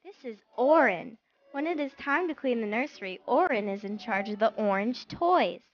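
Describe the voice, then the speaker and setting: A woman's voice: fairly high pitch, animated, normal speed, highs cut off. A woman reading scripted instructions as the narrator of a learning game for young children.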